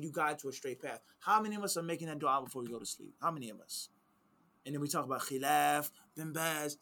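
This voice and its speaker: Forceful higher-voiced man teaching religion. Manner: emphatic, repeats key phrases, quotes Arabic texts. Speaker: Islamic teacher answering questions. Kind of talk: explanatory religious discussion.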